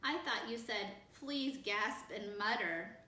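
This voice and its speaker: A woman's voice: mid-range voice, slow pace, bright, forceful tone, slight background noise. A woman voicing a cheeky young child who talks in a playful, teasing way. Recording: roomy, distant mic.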